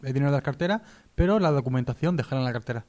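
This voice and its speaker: Young man bantering on radio, mid-pitched. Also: lively intonation, very fast, darkish tone. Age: young adult. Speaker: radio show co-host. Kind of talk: joking casual banter.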